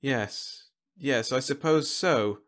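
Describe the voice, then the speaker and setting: A man's voice: mid-range voice, slow, a bit bright, pitched above the performer's own voice. A man voicing a meek, bewildered government secretary in an audiobook story, hesitant, stammering and easily cowed. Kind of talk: audiobook character acting.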